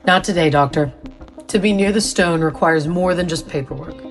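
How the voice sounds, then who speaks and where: Woman playing formal boss scientist lady, lowish measured voice, audio drama with soft music. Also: natural voice. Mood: stern, explanatory. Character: authoritative, formal female scientist briefing a newcomer. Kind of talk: scripted audio drama dialogue.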